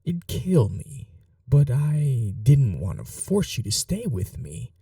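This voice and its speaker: A woman playing a possessive, wounded vampire mistress in an intimate ASMR roleplay, speaking softly and breathily, often in whispers, with slow, tender coaxing. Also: rumbling low, very animated, dry recording, clean studio sound, distant mic, full character voice. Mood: wounded, bitter.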